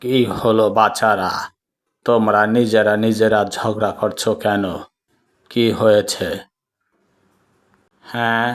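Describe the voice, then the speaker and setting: Man doing an old grandpa in a cartoon, lowish flat voice, calm lecturing. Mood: concerned. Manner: admonishing, measured. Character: calm, moralizing village grandfather. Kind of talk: cartoon voice acting.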